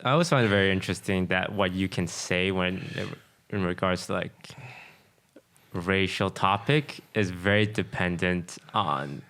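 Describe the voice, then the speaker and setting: A man from Malaysia talks through his views on a casual podcast in a reflective way. His voice is low-pitched, smooth and clear. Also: a little slow, fairly bright tone. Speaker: podcast co-host.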